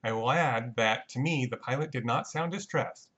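Measured man narrating, slightly dull sound. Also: mid-range voice, fairly bright tone. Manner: measured, matter-of-fact, technical. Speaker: narrator of a flight reconstruction. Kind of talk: scripted explanatory narration.

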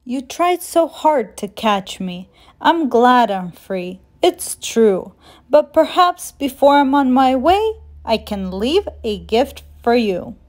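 A woman voicing a playful, confident magical snowman from a children's storybook, in an expressive singsong rhyme. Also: a little high, takes it slowly, pitched above the performer's own voice. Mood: gleeful, gracious.

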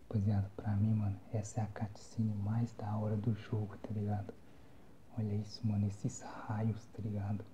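A young Brazilian man giving casual, slangy ASMR gameplay commentary in a soft, breathy whisper. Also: lowish voice, monotone, normal speed, highs cut off, a little hiss or hum. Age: young adult. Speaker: gamer, ASMR channel host. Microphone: phone microphone, close.

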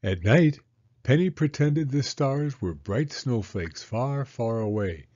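A man narrating a children's read-aloud story in an expressive, deliberate manner. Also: mid-range voice, muffled recording.

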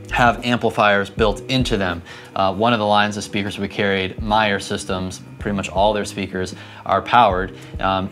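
Low-voiced man explaining things. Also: fairly bright tone. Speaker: church audio instructor. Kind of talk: explanatory tutorial talk to camera. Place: indoors, equipment room and church hall. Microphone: clip-on lapel mic.

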